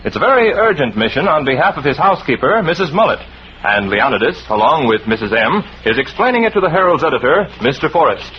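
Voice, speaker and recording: A man narrates as a 1940s radio announcer, scripted and very lively in intonation. His voice is fairly high. The vintage recording is muffled, with music underneath. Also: fast talker, slight background noise. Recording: dull old radio recording.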